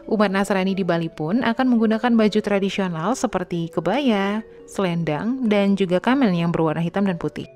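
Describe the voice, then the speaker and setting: A woman's voice: medium pitch, fairly quick, a little mellow, soft background music. A woman reading a scripted explainer narration, speaking straight to the viewer and asking questions as she goes.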